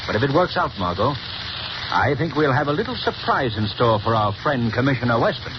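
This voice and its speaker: A man playing a composed, suave gentleman detective in a 1930s radio drama. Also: mid-range voice, very animated, fairly quick, highs cut off, lightly acted. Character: cool, confident gentleman detective. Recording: scratchy 1930s radio broadcast recording.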